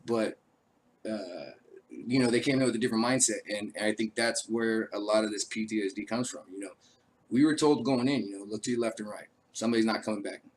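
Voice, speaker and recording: A man who is an army veteran hosting his own show, talking casually and rambling with many fillers, his voice raspy from a cold. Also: on the low side, fairly quick, slight background noise, low-quality recording. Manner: laid-back, rambling, frequent uh fillers. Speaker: show host. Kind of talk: casual live-stream talk.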